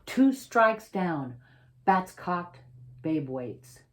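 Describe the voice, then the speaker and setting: A woman reading a children's picture book aloud as its author, with theatrical, emphatic delivery and drawn-out, suspenseful phrasing. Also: on the low side, sing-song. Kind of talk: dramatic read-aloud storytelling for children.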